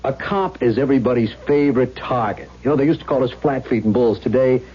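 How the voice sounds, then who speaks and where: An actor playing a skeptical, weary American police lieutenant in a 1970s radio drama, measured and patronizing. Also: male voice, medium pitch, close to an everyday voice. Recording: dull, noisy old radio broadcast.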